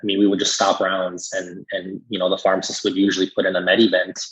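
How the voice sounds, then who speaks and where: Guy with a low husky voice explaining stuff in an interview, kinda muffled audio. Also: monotone, fast, a bit bright. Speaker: critical care pharmacist guest.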